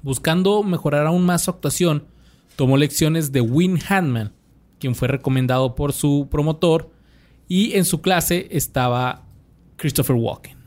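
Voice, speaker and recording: A man's voice: on the high side, measured pace, a little mellow. A young adult man with a Mexican accent, casually reading out a biography on a podcast with slangy asides. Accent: Mexican Spanish. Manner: laid-back, slangy, reads from notes with restarts. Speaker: podcast host.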